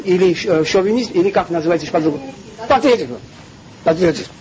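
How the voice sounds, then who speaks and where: High, animated man. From Georgia. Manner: emphatic, with frequent hesitations and rhetorical questions. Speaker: spiritual lecturer. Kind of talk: impassioned religious lecture. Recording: dull lo-fi recording with faint background noise.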